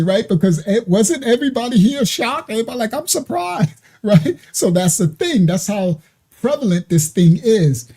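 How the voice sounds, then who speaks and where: Husky dark-voiced host rambling over clips, keeps asking right?. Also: medium pitch. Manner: talks loosely, with repeated "right?" and "you understand?" asides. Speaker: online ministry host. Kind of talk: casual commentary over video clips.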